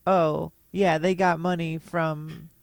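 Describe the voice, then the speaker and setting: A woman's voice: low voice, level tone, slow, creaky, darkish tone, no room sound. A woman chatting and teasing as a podcast co-host, laughing often. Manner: laughing, teasing, dry asides. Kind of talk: casual chat.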